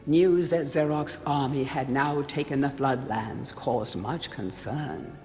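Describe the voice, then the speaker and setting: A grave chronicler of an old kingdom, played by a male actor, recounts fantasy game lore like a storybook. His delivery is solemn and theatrical, at a middling pitch. Long hall reverb surrounds him and music plays underneath. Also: slow, darkish tone, lightly acted. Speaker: narrator.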